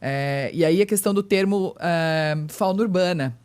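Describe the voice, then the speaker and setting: A woman hosting a casual chat in a halting way, often seeking agreement. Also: lowish voice, a little mellow, clear, resonant tone. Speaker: podcast host, animal charity president.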